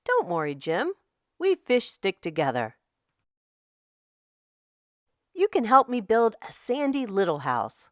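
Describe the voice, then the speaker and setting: A woman's voice: medium pitch, huge pitch swings, normal speed, no echo, studio quality. A woman voicing a plucky little fish in a children's storybook, with a childlike sing-song manner. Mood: reassuring.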